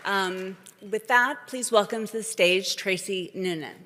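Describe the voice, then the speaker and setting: A woman giving welcome remarks as an event host, speaking in a halting way to a live audience. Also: medium pitch, a bit bright, slight background noise. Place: large indoor event hall, on stage. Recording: echoey hall with long reverb.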